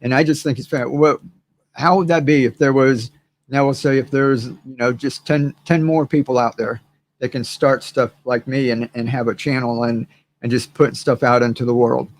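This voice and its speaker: A hoarse man hosting a gardening channel, chatting casually and rambling to his viewers with many fillers and repeated words. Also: mid-range voice, steady tone, moderate pace. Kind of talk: casual livestream chat to viewers.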